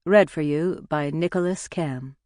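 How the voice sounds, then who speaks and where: A woman announcing an audiobook's title and credits in a formal, even studio read. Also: low voice, unhurried, no room sound. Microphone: close studio mic.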